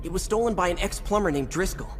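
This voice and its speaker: A man's voice: sits high, animated, fast talker, faint music behind. An actor playing a determined, confident, wisecracking teenage boy hero in a video game, earnest and casual. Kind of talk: video game cutscene acting.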